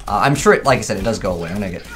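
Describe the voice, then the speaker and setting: Male gaming commentator, lowish pitch, casual and unscripted, thinks out loud, noisy background. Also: lively intonation, racing. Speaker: gaming video creator. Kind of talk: casual off-the-cuff gameplay commentary.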